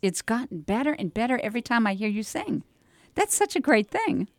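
A woman's voice: on the high side, big pitch swings, brisk, dry recording, clean studio sound. A woman hosting a chatty local radio show, talking informally in an effusive, exclaiming, encouraging way. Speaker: radio talk show host. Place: radio studio.

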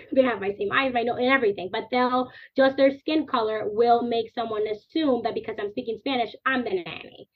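A woman's voice: fairly high pitch, a little fast. Earnest Dominican-born woman on a tinny line. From Dominican Republic. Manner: earnest, animated, talks in long chains of clauses. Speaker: guest. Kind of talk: podcast interview.

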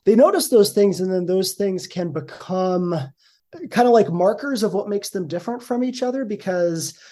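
Middle-aged male academic guest, mellow tone, hedging and thoughtful. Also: high-pitched, normal speed. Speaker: guest, linguistics professor. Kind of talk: conversational interview answers.

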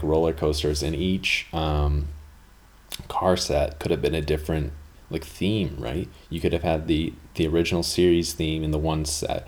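Very deep-voiced rambling man. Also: a little mellow. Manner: rambling, chatty, full of fillers and asides. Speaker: podcast host. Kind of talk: casual rambling monologue.

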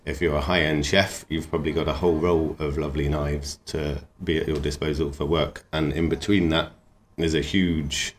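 A man's voice: rumbling low, little pitch movement, moderate pace, slight background noise. A man, talking in an easygoing, rambling and enthusiastic way as an interview guest about his craft and cooking. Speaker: knifemaker and cook. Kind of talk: relaxed interview talk.

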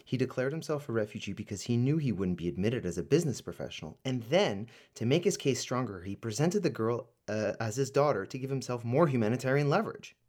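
A man's voice: mid-range voice, animated, fast talker, few pauses, darkish tone, natural voice. A man acting in a radio play as a businesslike, wry government official helping an investigation. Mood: explaining.

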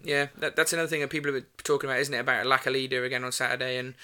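Male football podcaster, rapid and sharp, slightly croaky, rambling and self-correcting. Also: medium pitch, flat delivery. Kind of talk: casual football chat.

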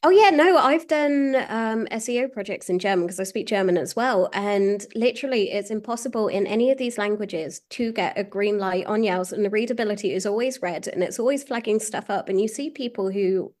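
A woman's voice: mid-range voice, brisk, hardly any pauses, a little mellow. A woman chatting casually as a podcast guest, informal and emphatic, with frequent exclamations. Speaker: podcast guest, SEO professional. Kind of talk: casual chat.

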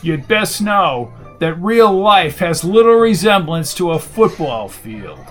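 A man's voice: sits high, big pitch swings, takes it slowly, a bit bright, soft background music, exaggerated voice. A man voicing a prickly, combative male attorney in an audiobook, speaking in a curt, indignant, formal way.

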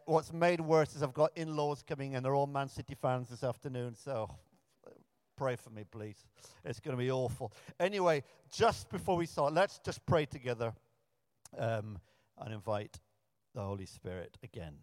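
A man in his thirties, raised in Africa, preaching in a casual, self-deprecating way and often restarting his sentences. His voice sits a little high for a man. The church around him leaves a long, ringing reverb. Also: clear, resonant tone. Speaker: preacher, pioneer minister. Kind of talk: sermon with storytelling.

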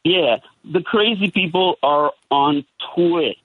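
A man's voice: fairly high pitch, slow pace. An older man calling in to a radio show, chatting in a friendly, advising, rambling way. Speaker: caller. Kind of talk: phone-in chat with host. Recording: tinny phone line.

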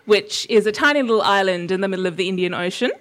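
A young woman from Sri Lanka gives a personal talk, thoughtful and conversational. She pauses on little fillers and laughs softly now and then. Her voice sits at an ordinary middle pitch. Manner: warm, reflective, with fillers and small laughs. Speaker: invited speaker. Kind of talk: personal storytelling talk to an audience.

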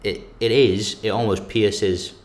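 A man's voice: on the low side, steady tone, slow pace. A man in casual academic discussion, talking with many restarts and emphatic bursts.

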